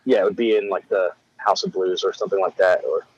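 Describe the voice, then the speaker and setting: A man born in England, a musician guest in a casual interview, talking haltingly with many restarts and fillers. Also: sits low, steady tone, muffled recording.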